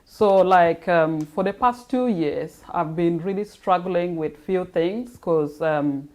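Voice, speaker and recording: A woman's voice: on the low side, slow pace, a little mellow. A woman from northern Uganda, answering interview questions about her charity in a plain, earnest way. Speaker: guest, charity founder.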